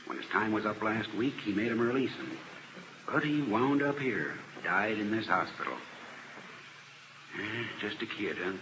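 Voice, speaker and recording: Plainspoken police officer, wry, clipped, low pitch, old radio drama, heavy hiss. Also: male voice, very animated, moderate pace. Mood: wry, resigned. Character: matter-of-fact male police officer, wryly weary. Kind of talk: 1950s radio drama acting. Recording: dull, noisy old radio recording.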